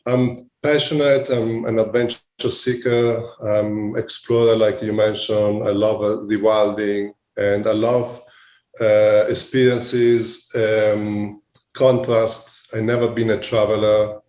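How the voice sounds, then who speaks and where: Man, Italian accent, lowish voice, echoey remote call, podcast guest, halting, reflective. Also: little pitch movement, a little mellow. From Italy. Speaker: podcast guest, hospitality company CEO. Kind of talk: conversational interview answers.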